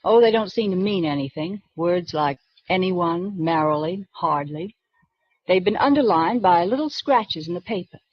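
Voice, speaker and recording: An actress playing a prim, reserved librarian who speaks in a precise, proper way, in 1940s radio acting. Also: female voice, lowish voice, expressive, moderate pace, no echo. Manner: precise, proper, rule-bound speech. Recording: dull dry 1940s radio broadcast recording.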